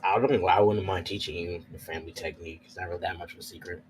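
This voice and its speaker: A man's voice: deep voice, fairly even intonation, quick pace, a little hiss or hum, low-quality recording. A man playing a tabletop roleplay, chatting casually in character and often repeating his phrases as he improvises.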